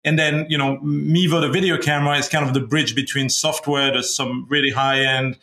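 A middle-aged man from Belgium, a company founder, speaking as a guest over a video call in a halting casual way, with many restarts and fillers. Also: medium pitch, level tone, bright, forceful tone. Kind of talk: casual interview talk.

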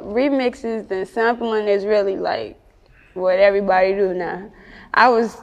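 A woman's voice: mid-range voice, big-room sound. A woman with a Chicago accent chatting casually in an interview as a rapper, with slangy, sweary talk. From Chicago, United States.

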